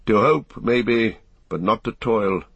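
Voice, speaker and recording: Male narrator as grave ranger, lowish husky voice, watchful, brooding, resolute, fantasy audiobook. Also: fairly bright tone, dry recording, muffled recording, heavily acted. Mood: weary resolve. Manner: brooding, measured, archaic phrasing.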